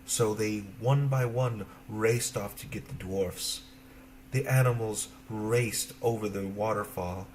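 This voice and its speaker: A man narrating a children's fairy tale as an expressive storyteller, in simple phrases. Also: fairly low pitch, takes it slowly, a little hiss or hum.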